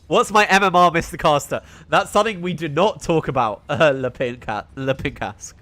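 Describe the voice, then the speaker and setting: A man's voice: fairly high pitch, normal speed, bright, forceful tone. British man casting a live esports match. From Britain. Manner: chatty, answers his stream chat between plays. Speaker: esports caster. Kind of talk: solo play-by-play commentary on a live stream.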